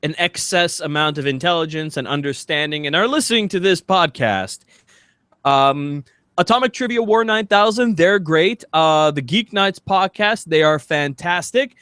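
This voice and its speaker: Male co-host, slightly high voice, dry-sounding recording, rambling informal delivery, wry and self-deprecating. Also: animated, normal speed, pushed and bright, smooth, no echo. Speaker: podcast co-host. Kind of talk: casual chat.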